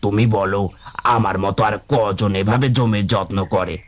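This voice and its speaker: A man's voice: fairly low pitch, fairly even intonation, moderate pace, lightly acted. A man voicing a weary, earnest village farmer in a cartoon, plaintive and burdened with worry. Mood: worried. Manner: lamenting, plaintive. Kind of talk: cartoon voice acting.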